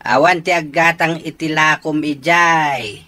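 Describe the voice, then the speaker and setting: Curt evasive character, low bright forceful voice, ilocano accent, radio drama. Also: female voice, fairly even intonation, measured pace, no room sound. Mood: defensive.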